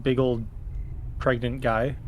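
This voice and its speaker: A man's voice: medium pitch, level tone, slow pace. A man speaking casually as a player in a tabletop roleplaying game. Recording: loud music under the voice. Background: loud background music.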